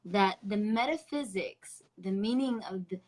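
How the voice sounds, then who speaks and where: A woman's voice: medium pitch, measured pace, low-quality recording. Effusive Spanish-accented woman host. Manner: effusive, affectionate, spiritual wording. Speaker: interview host. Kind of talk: casual online interview.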